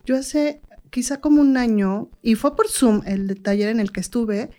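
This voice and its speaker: Mellow, higher-voiced Mexican woman. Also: normal speed. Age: middle-aged. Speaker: psychologist on a talk show. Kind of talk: casual interview chat.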